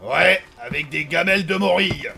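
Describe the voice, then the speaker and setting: A man playing a brash, drunken, brawling pirate captain with a gravelly voice in a comic fantasy audio drama. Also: on the high side, normal speed, bright, forceful tone, faint music behind, full character voice. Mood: gruff, mocking. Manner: brash, insulting, slangy. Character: gruff, foul-mouthed, brawling drunken male pirate captain.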